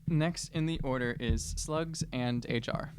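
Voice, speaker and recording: A woman's voice: a bass voice, measured pace, darkish tone, a little hiss or hum. A woman chatting casually as a player in a tabletop role-playing game, blunt and irreverent.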